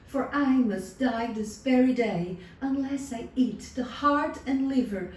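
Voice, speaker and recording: A woman's voice: sits high, unhurried, a little mellow, pitched above the performer's own voice. A woman voicing a false, scheming lady who coaxes and pleads dramatically in a folktale. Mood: pleading. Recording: distant, roomy sound with faint noise.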